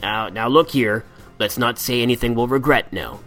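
A man's voice: medium pitch, normal speed, fairly bright tone, faint music behind, close to an everyday voice. A male narrator voicing a nervous young would-be pirate who talks hesitantly and placatingly.

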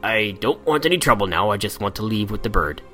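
A nervous young would-be pirate who stammers and tries to calm others down, as a male narrator voices him. A plain, unforced storybook voice. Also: fairly low pitch, a little fast, soft background music. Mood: placating. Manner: hesitant, placating.

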